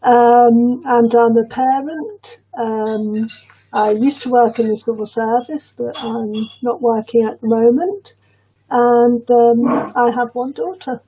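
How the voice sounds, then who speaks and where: A woman in her fifties from Leicester, a parent, speaking in a measured, plain way. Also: a little high, dark tone, slight background noise, rough recording. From Leicester, England. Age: 50s. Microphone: computer mic on a video call.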